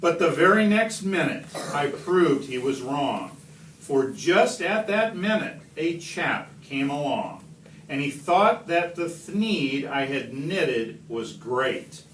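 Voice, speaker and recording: A man reading a rhyming picture book aloud expressively, with deliberate, emphatic phrasing and dramatic stresses. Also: a little high, lively intonation, fairly bright tone. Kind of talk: expressive storybook read-aloud in rhyme. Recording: distant mic, echoey room, background noise.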